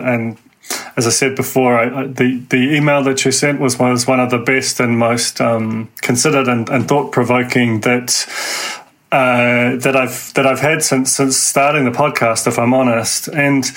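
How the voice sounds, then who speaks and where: A man with a New Zealand accent hosting an interview podcast, talking in halting bursts with many restarts. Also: medium pitch, fairly even intonation, fairly bright tone, rough recording. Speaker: podcast host.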